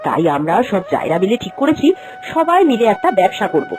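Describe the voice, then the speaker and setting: A woman's voice: mid-range voice, big pitch swings, brisk, music in the background, close to an everyday voice. A woman playing a caring, cautious village housewife, an elder sister-in-law, in a moral-story cartoon, speaking earnestly and expressively.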